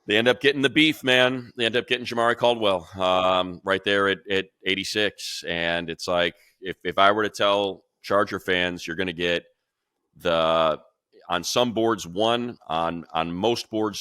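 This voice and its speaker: Man, very low voice, bright forceful tone, excitable rambling football talk, dry close sound. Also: clean tone, dry recording. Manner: rapid, rambling, excitable, full of fillers and restarts. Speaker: radio play-by-play announcer and co-host. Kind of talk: casual football podcast analysis.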